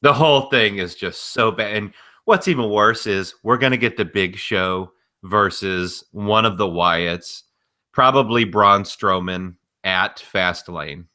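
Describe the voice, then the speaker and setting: A man's voice: on the low side, a little slow, pushed and bright. A man co-hosting a casual wrestling podcast, giving informal, matter-of-fact critical commentary. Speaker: podcast co-host.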